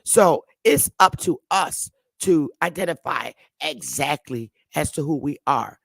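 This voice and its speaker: A woman's voice: deep voice, lively intonation, measured pace, gravelly, no echo. A woman hosting a casual online group discussion, who talks emphatically and repeats her points for stress. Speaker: host.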